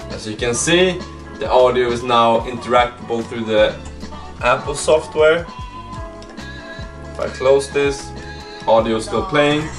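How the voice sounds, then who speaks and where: A man talking informally in a casual video diary, presenting his software project as a developer. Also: medium pitch, a little slow, pauses often, music in the background. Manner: informal, conversational, mild swearing. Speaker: startup vlogger and app developer.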